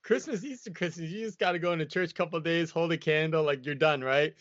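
A man's voice: a little high, fast, a bit bright, no echo. A man talking as an interview guest, in a loose, rambling way full of "you know" and "like". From United States. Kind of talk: casual reflective interview talk. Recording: dull lo-fi dry sound.

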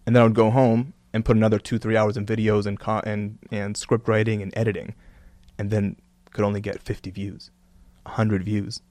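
A man's voice: on the low side, level tone, brisk, a little mellow, dry recording. A man who grew up in Kuwait and Canada, speaking earnestly and reflectively as an interview guest, with many "you know" fillers. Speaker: guest, religious content creator. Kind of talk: casual interview talk.

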